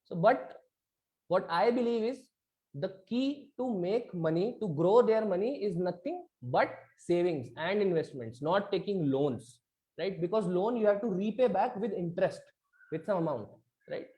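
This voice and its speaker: A man's voice: high voice, expressive, a little slow, dark tone, rough recording. A man with an Indian accent teaching an interactive online class, often checking that listeners follow. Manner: checks understanding with frequent tag questions. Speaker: instructor. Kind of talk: interactive online lecture switching between two languages. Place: online video session.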